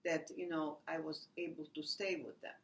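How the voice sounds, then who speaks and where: A woman, recalling her life in a halting oral history interview, full of restarts and fillers. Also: sits low, little pitch movement, slight background noise. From Latvia. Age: 80s. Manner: halting, many restarts and "you know" fillers. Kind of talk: rambling personal recollection.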